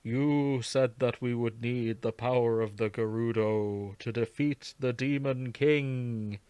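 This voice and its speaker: An ancient warrior matriarch's spirit, acted out by a man, solemn and stately. The delivery is very slow and grand, with weighty pauses, as in a hyperdramatic story reading. The sound is dry. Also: mid-range voice, fairly even intonation, mellow, clean tone, no room sound, natural voice. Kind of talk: hyperdramatic fan fiction reading.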